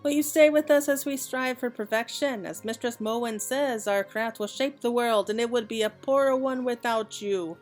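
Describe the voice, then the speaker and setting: A woman's voice: fairly high pitch, normal speed, few pauses, faint music behind, close to an everyday voice. A woman voicing an earnest, polite young apprentice artisan from a game, in formal and courteous phrasing. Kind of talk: video game dialogue read aloud.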